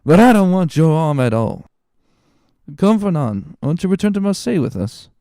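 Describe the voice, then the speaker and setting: Stubborn, good-hearted drunkard, higher-pitched, slurred and drawling, audiobook drama. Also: male voice, sing-song, warm tone, no room sound, studio quality. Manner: slurred, drawn-out drunken speech.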